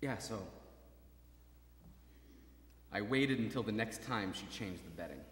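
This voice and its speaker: An actor playing a maimed young soldier, desperately hopeful, in a halting, intense dramatic monologue that moves between murmurs and cries. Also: male voice, on the low side, lively intonation, noisy background, lightly acted. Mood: tense, hushed. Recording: reverberant hall, stage performance.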